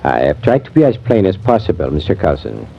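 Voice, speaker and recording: An actor playing a grave, professional doctor in a measured, formal 1950s American radio drama style. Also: male voice, deep voice, big pitch swings, gravelly, exaggerated voice. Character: grave, professional, plain-spoken male doctor. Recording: dull, hissy vintage radio recording.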